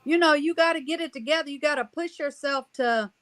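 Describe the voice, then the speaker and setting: Sassy bright-voiced woman from Ohio. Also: high-pitched, dry recording. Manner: sassy, quick, emphatic. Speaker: stage actress. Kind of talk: casual podcast chat.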